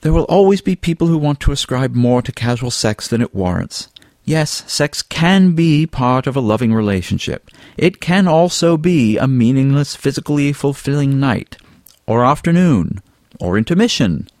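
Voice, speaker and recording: Guy doing a vain flirty male weasel in an audiobook, florid and haughty, really animated pitch. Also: normal speed, no room sound, clean studio sound, natural voice. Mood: lofty, lecturing. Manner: haughty, florid, wordy, drawling endearments. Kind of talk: audiobook character dialogue.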